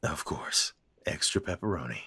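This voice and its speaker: A man voicing a gruff middle-aged father in an audio drama, his voice low and rough with a husky grain. He is stiff and clipped, uneasy with his grown son. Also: expressive, normal speed, pushed and bright, dry recording, in a whisper, heavily acted. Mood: warm. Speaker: voice actor.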